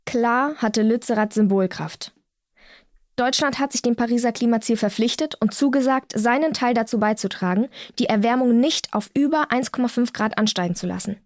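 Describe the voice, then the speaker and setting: A woman's voice: on the high side, normal speed, dry recording, studio quality, natural voice. A woman playing an earnest, principled young climate activist in naturalistic audio drama dialogue. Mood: indignant, insistent.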